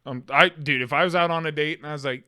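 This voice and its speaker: A man's voice: on the high side, fairly even intonation, fast, pushed and bright, smooth, no echo. A young man co-hosting a podcast in casual chat, loose and joking, cursing and acting out quoted lines. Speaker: podcast co-host.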